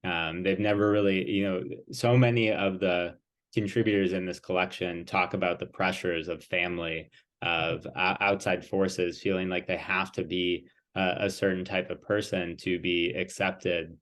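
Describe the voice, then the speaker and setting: Male author guest, low voice, thoughtful, hesitant, lots of ums. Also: fairly even intonation, normal speed, a bit bright. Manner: thoughtful, hesitant, many fillers. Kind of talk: interview conversation.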